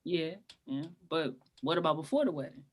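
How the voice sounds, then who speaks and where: A woman's voice: deep voice, very animated, normal speed. A woman acting in a table read as a blunt, protective, skeptical friend of the groom, talking in direct, casual slang. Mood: insistent concern.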